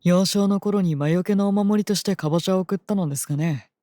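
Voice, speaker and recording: An actor playing a calm, very polite holy knight and devoted royal bodyguard in a fantasy audio drama. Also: mid-range voice, fast talker, no echo, studio quality, natural voice. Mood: fond, gentle. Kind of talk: Japanese audio drama acting.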